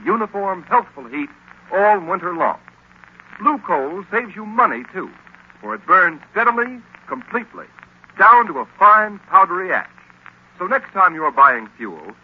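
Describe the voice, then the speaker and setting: A man with an American accent works as a dramatic, emphatic announcer in 1930s radio style. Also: sits high. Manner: emphatic, theatrical delivery. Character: dramatic male announcer of a mystery radio serial. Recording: scratchy, dull old radio recording.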